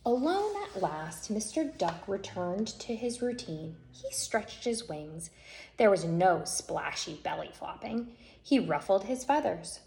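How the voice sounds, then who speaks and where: A woman reading a children's picture book aloud as an expressive storyteller, with deliberate, dramatic phrasing. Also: medium pitch, vocal fry. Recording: echoey room with faint noise.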